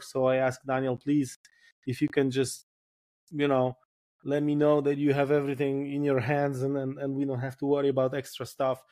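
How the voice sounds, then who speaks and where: Polish-accented man, flat and measured. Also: medium pitch. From Poland. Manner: thoughtful, repeats words while searching for phrasing. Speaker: guest, firefighter colonel. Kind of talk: casual podcast interview.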